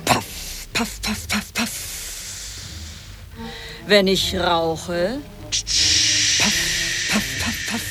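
Very slow puffing steam locomotive. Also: female voice, mid-range voice, over loud music. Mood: polite, puffing. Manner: very drawn-out speech broken by puffing sounds. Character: polite puffing old steam locomotive, about to depart. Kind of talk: absurdist radio play acting.

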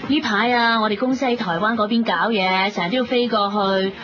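A woman's voice: medium pitch, fairly even intonation, a little fast, over music, lightly acted. An actress playing a busy, sociable career woman with a Hong Kong Cantonese accent, effusive and mixing in English words. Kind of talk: radio drama dialogue. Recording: dull lo-fi radio with slight noise.

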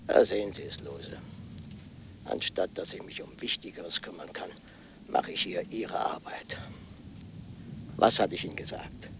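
An actor playing an irascible hotel landlord in a radio play, gruff, blustering and contemptuous as he scolds. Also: low-pitched, unhurried, pauses often, in a whisper. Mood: angry, scornful. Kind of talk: German radio play acting.

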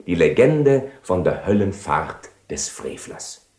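World-weary ironic nobleman seducer, low voice, eloquent radio drama delivery, roomy 1970 studio. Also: sing-song, slow pace, darkish tone, full character voice. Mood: sardonic, composed. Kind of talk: 1970s German-language radio play acting.

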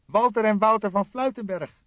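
An excitable young male detective from a crime audiobook, acted out by a male reader. He pitches high and speaks fiercely and insistently, quick to take offence. The recording is dry and a bit muffled. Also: dry recording. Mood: eager. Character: eager, vehement young male police detective, easily irritated.

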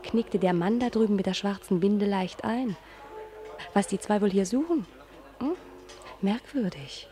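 An actress playing a dreamy, anxious female star in a German radio play, with breathy, drawn-out, theatrical phrasing. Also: mid-range voice, warm tone, soft background music, lightly acted. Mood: playful, rapturous. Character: dreamy, anxious female star, kept darling, former Brooklyn dishwasher.

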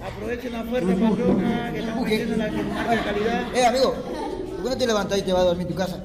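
A pushy, streetwise bus passenger played by an amateur argues in a radio play. It was recorded in a big room with clear music and a busy crowd behind. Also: medium pitch, steady tone, fast talker, natural voice. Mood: pushy, scolding. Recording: echoey large room, music and crowd noise.

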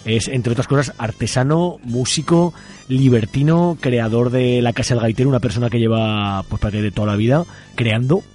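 Male radio host, Aragonese Spanish accent, mid-pitched, chatty and colloquial, music in the background. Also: moderate pace, a little mellow. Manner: informal, colloquial. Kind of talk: casual radio interview chat.

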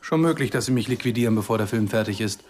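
Actor as proud, guarded filmmaker amid street noise. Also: male voice, on the low side, fast talker, darkish tone, natural voice. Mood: earnest. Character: proud, secretive, anxious Palestinian filmmaker, defensive husband. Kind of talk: German radio drama dialogue. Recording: noisy outdoor street ambience with traffic.

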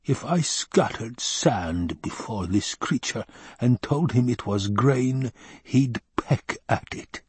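A man acts out a scheming, tyrannical baron in a dramatised audiobook. The voice rumbles, husky and drawn-out, with imperious contempt in a close, dry recording. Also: animated, a little mellow, no room sound, heavily acted. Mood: scornful musing. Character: menacing, scheming, obese male baron and tyrant.

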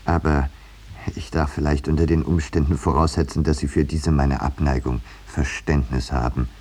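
An actor playing a scheming, smooth-talking man in a 1950s German radio drama, mock-polite and ironic with small hesitations. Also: very deep voice, flat delivery, moderate pace, a little mellow. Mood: wry, narrating. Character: scheming, smooth-talking man bargaining away his troublesome cousin. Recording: vintage 1950s radio recording.

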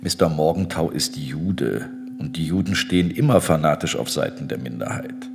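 Cold government minister, low voice, widely swinging intonation, condescending, formal radio drama. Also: male voice, expressive, normal speed, vocal fry, full character voice. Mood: cool suspicion. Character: imperious, cold Ottoman war minister, smoothly justifying atrocities. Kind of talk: German radio play acting.

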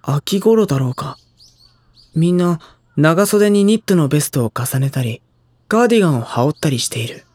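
A young man playing a sensitive, anxious high school boy in dramatized first-person narration. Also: animated, moderate pace, darkish tone, no echo. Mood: pensive. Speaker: narrator and character.

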